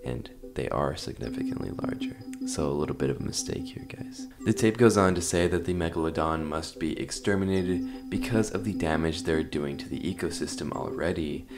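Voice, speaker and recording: A man's voice: a bass voice, big pitch swings, moderate pace, few pauses. A man hosting a horror explainer video, giving scripted commentary with casual asides to his viewers. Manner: addresses viewers informally. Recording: music behind the voice.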